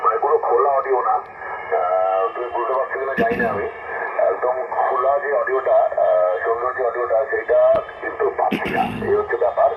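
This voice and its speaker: A man in a casual, hesitant ham radio exchange, pausing often as he talks. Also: medium pitch. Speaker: amateur radio operator. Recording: noisy, narrow-band shortwave radio link.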